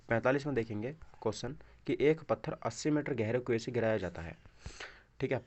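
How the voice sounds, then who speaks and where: A man's voice: medium pitch, darkish tone. A man teaching in an instructional style, going through quiz questions and repeating answers with short check questions. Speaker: exam coaching teacher. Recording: dull, lo-fi microphone sound.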